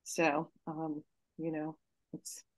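Halting, thoughtful woman with a gravelly edge. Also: low voice, monotone, dry recording. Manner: thoughtful, halting with many fillers. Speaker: novelist panelist. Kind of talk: casual panel discussion. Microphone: video call.

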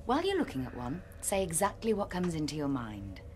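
A woman playing a brisk, coolly authoritative research doctor in a radio drama, speaking with clinical precision. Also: deep voice, wildly swooping pitch, background noise. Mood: testing, controlled.

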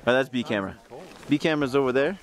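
A man's voice: medium pitch, animated, rapid-fire. A man vlogging his day as a photographer, chatting casually and joking at his own expense outdoors on a ski mountain, filmed with a handheld camera. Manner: joking, self-mocking, chatty. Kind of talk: casual behind-the-scenes vlog. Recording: loud outdoor noise behind voice.

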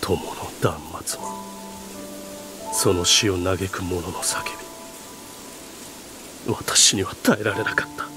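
An actor playing a weary, guilt-ridden lord in a video game, speaking gravely and slowly, often sinking toward a whisper. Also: male voice, low-pitched, music in the background. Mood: anguished, weary. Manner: slow, grave, half-whispered confession. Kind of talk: Japanese video game voice acting.

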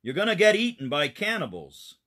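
A man's voice: high-pitched, huge pitch swings, fairly quick, pushed and bright, voice pushed up, exaggerated voice. An elderly, devout man from a children's storybook, voiced by a male reader. He is alarmed and discouraging, and he speaks in blunt, emphatic warnings.

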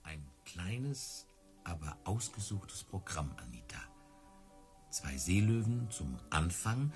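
An earnest circus lion tamer, lovestruck and easily wounded. His radio-drama voice is very low and moves up and down a lot. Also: sing-song, slow, darkish tone, faint music behind, full character voice. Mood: earnest, defensive. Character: earnest, easily hurt circus big-cat tamer, besotted suitor. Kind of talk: radio play acting.

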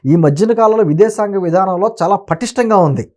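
A man's voice: high voice, a little fast, darkish tone. A man giving opinionated political commentary as an interview guest, emphatic and argumentative, often posing rhetorical questions.

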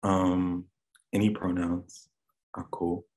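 Deep-voiced man from Miami. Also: flat delivery, slow pace, darkish tone, poor audio. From Miami, Florida. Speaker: roundtable participant. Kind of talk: casual self-introduction.

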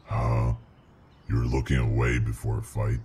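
A man's voice: very deep voice, monotone, measured pace, creaky, dark tone, slight background noise, pitched below the performer's own voice, exaggerated voice. A man voicing a commanding, threatening demon bent on killing humans in an audio roleplay. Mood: cold, menacing.

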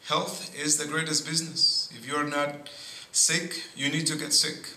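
A middle-aged man, a priest giving a sermon at a pulpit, speaking in slow, deliberate phrases with pauses and frequent asides of "see". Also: on the high side, little pitch movement, sharp tone. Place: church sanctuary at a pulpit. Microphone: boom mic at pulpit. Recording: echoey church with faint noise.

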